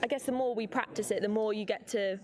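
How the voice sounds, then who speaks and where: A woman's voice: mid-range voice, fairly even intonation, fast talker. Informal woman chatting amid crowd noise. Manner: informal, anecdotal. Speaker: puppeteer interviewee. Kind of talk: casual interview chat.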